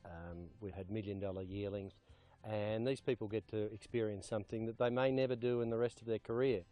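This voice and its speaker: A man on the staff of a horse stud, explaining the trainee program in a measured way with some fillers. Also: on the low side, fairly even intonation, lo-fi sound. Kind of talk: interview answers. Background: background music.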